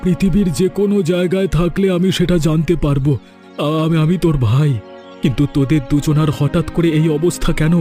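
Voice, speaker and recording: A caring elder brother from a fairy-tale cartoon, voiced by a man, advising and dutiful. His tone is soft-edged and mellow, over background music. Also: a little high, steady tone, normal speed, close to an everyday voice. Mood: surprised, concerned.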